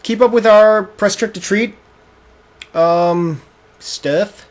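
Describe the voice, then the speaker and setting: A man's voice: high-pitched, takes it slowly. A man co-hosting a gaming show, bantering casually as he comments on video game play. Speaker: video game podcast host. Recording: noticeable background noise.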